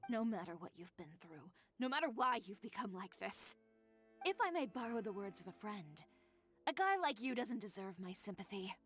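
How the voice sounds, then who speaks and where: A woman's voice: mid-range voice, very animated, normal speed, a bit bright, faint music behind. An actress playing a proud, determined young female fashion designer in a game, speaking in a firm, cool, lecturing way. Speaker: game character.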